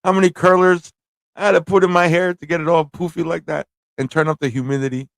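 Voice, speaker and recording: A man's voice: on the high side, little pitch movement, quick pace, gravelly, fairly bright tone, dry recording. Casual, off-the-cuff male livestream host, dry sound. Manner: informal, off-the-cuff, thinks aloud, repeats phrases. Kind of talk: casual rambling livestream chat and commentary.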